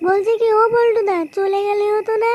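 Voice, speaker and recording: A man voicing a timid, whiny young sidekick who pleads in fear in a comedy cartoon, with an artificially pitched-up voice. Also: flat delivery, brisk, a little mellow. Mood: frightened, pleading. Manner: pleading, repetitive whining.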